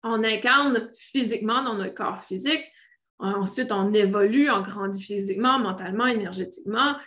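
A woman's voice: mid-range voice, normal speed, fairly bright tone. A woman with a French Canadian accent talking off the cuff to online viewers as a spiritual teacher, often searching for words and repeating phrases. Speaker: spiritual teacher hosting a live stream. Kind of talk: unscripted live talk to online viewers.

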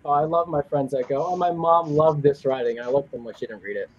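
A young man, a guest writer, talking casually and laughing at himself, with halting restarts and a husky voice. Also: fairly high pitch, dry recording. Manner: self-deprecating, laughs often, halting restarts. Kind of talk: casual podcast chat. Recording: dull lo-fi audio.

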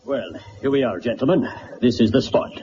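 An actor playing a respectful, plain-spoken police sergeant, reporting matter-of-factly in classic radio drama style. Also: male voice, mid-range voice, moderate pace, warm tone, close to an everyday voice. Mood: dutiful, matter-of-fact. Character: deferential, matter-of-fact male police sergeant. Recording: dull, faintly noisy old radio recording.

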